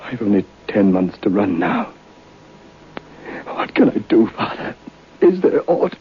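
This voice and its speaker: An actor playing a despairing nobleman who sold his soul, anguished, halting and pleading in an old radio drama. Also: lowish voice, sing-song. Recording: muffled, hissy old radio broadcast.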